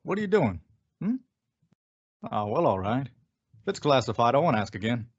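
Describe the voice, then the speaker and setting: A man playing a gentle, concerned father, speaking intimately and conversationally as he counsels his son in a public-service message. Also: medium pitch, very animated, husky. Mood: tender concern. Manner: coaxing, conversational, pausing for replies. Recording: dull, lo-fi audio.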